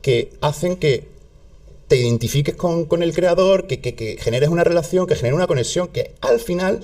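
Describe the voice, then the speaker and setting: Southern spanish guy chatting as a guest, warm dark voice, lots of restarts. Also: a little high, moderate pace. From southern Spain. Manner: animated, many restarts and repetitions. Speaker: health content creator guest. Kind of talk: casual interview chat.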